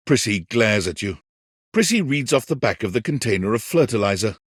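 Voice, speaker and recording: A man playing a whimsical, wry yet tender storybook narrator in a video game, with theatrical, deliberate phrasing. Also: medium pitch, slightly rough, no echo, clean studio sound. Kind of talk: scripted second-person storybook narration.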